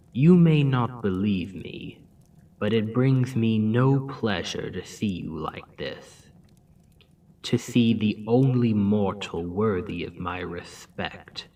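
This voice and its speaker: Man playing a scheming demon king for ASMR roleplay, low-pitched and unhurried, mocking drawl with whispers, mic set back. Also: distant mic, heavily acted. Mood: vengeful. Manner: slow, soft-spoken, mocking drawl with dips into whisper.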